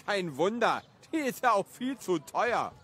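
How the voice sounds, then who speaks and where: A man's voice: high-pitched, very animated, a little slow, a bit bright, full character voice. A man playing a stubborn, cheeky village egg seller in a cartoonish audio drama, with a brazen manner.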